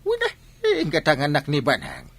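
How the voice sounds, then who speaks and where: A man's voice: a little high, huge pitch swings, brisk, vocal fry, fairly bright tone, full character voice. A man acting a sheepish, henpecked village captain in an Ilocano comedy radio drama, stammering and hesitant as he makes excuses.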